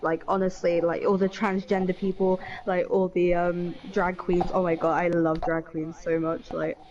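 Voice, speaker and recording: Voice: mid-range voice, little pitch movement, normal speed, darkish tone. Chatty voice on a muffled line. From United Kingdom. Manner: chatty, rambling with many fillers. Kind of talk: casual voice chat while gaming.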